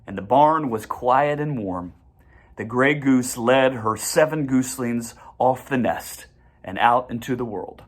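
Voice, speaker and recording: A reader narrating a children's storybook in an expressive manner. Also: mid-range voice. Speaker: audiobook reader.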